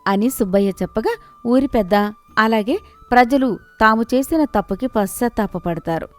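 A woman's voice: a little high, expressive, moderate pace. A woman reading a scripted moral story as its storyteller. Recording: music behind the voice.

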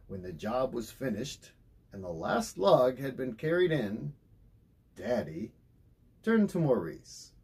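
A middle-aged man reads a bedtime story aloud with lively swings of pitch. His voice is raspy and he takes his time, telling it in a theatrical style. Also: takes it slowly. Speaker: storybook reader. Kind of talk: expressive scripted narration of a children's bedtime story.

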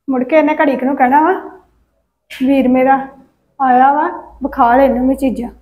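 A woman's voice: sits high, darkish tone. A woman playing a resentful placating young wife. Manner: politely placating aloud, muttering grudges aside. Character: resentful young wife, sweet to faces, bitter behind backs. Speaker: wife of the brother. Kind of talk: village family drama acting.

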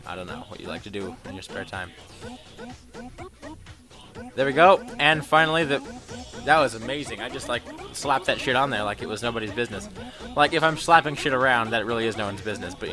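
A young man giving a casual let's-play commentary, crude and rambling, with self-mocking jokes and sudden loud outbursts. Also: on the low side, fairly quick. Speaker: gaming commentator. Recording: game music loud underneath. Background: electronic dubstep game music.

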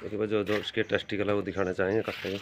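A man's voice: lowish voice, flat delivery, very fast. A man with a Haryanvi accent giving informal, emphatic livestock commentary outdoors and putting questions to a farmer, repeating himself for viewers. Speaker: livestock video presenter and interviewer. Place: open farmyard with brick walls. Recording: dull phone audio with faint noise.

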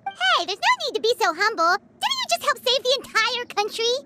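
Game fairy sidekick: tiny childlike girl, piping very high, animated, chirpy. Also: normal speed, very noisy. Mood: surprised, encouraging. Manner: refers to self by name, squeaky exclamations. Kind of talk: video game character acting.

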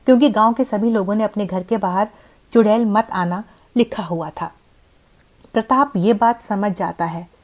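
A woman narrating a scripted horror story as its storyteller. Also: medium pitch.

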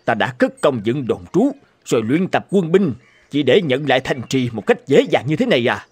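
Proud, commanding cartoon emperor, lively pitch. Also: male voice, moderate pace, husky, no room sound. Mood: disgruntled. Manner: imperious, declamatory. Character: proud, stern male conquering emperor, commanding and curious. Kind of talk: dubbed cartoon dialogue.

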